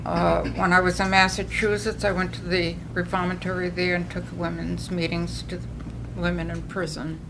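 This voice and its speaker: A woman's voice: fairly low pitch, level tone, normal speed, vocal fry, background noise. Older New England woman sharing her story. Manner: earnest, storytelling with frequent restarts. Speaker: recovery meeting speaker. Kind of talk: personal testimony.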